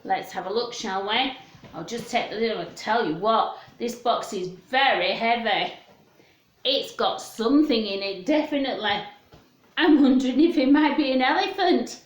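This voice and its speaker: A woman presenting a children's storytime, chatting in a gentle sing-song way and putting questions to young listeners. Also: a little high, lively intonation, moderate pace. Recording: roomy, echoing room sound.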